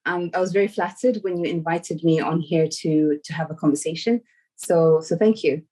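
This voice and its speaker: A woman from Somalia answering interview questions over a video call in an earnest, reflective way, with soft "um" fillers. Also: sits low, moderate pace, poor audio. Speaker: guest.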